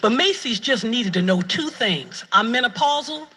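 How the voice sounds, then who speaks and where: A woman's voice: on the high side, animated, moderate pace, noisy background. A middle-aged woman talking conversationally, telling a personal story.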